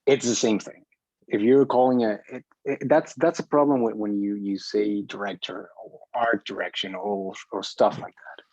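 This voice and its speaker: A man speaking as a filmmaker in a casual group discussion, opinionated and halting, often repeating his words as he argues a point. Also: fairly low pitch, steady tone, darkish tone, dry recording, muffled recording.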